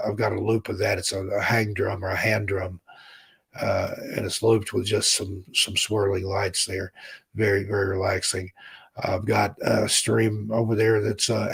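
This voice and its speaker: A man hosting a livestream in a relaxed, rambling chat with his viewers, reading their comments aloud, his voice hoarse as he loses it. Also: on the low side. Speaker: livestream host.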